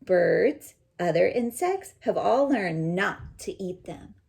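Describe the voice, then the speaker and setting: A woman teacher reading a children's picture book aloud and pausing to explain it in simple words. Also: fairly low pitch, animated, creaky, darkish tone.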